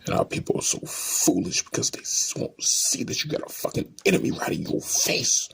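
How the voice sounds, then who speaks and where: A vlogger reacting in a hushed, intense voice, often dropping to a whisper. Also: fairly quick, a little hiss or hum. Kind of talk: emotional reaction commentary.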